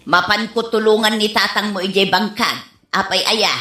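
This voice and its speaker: A woman's voice: mid-range voice, steady tone, normal speed, bright, forceful tone, natural voice. A woman playing a fussy, scolding older mother in an Ilocano radio comedy drama, with an Ilocano accent. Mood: complaining. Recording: roomy, echoing sound.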